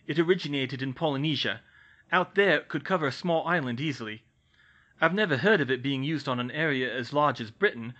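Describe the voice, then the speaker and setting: A man voicing a calm, knowledgeable young wizard. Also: mid-range voice, lo-fi sound. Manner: steady, explanatory.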